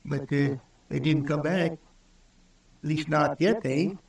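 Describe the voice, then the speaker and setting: A man's voice: on the high side, a little slow, creaky. An actor playing a naive, earnest male newcomer to the frontier in an old radio drama, folksy and hesitant. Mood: anxious earnest. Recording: roomy old radio recording, distant from mic.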